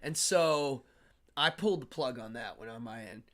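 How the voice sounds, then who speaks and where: A man's voice: medium pitch, normal speed. A man with a nasal voice hosting a casual live-stream interview, telling stories with lots of laughter. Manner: animated storyteller, laughs often. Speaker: host. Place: New York City.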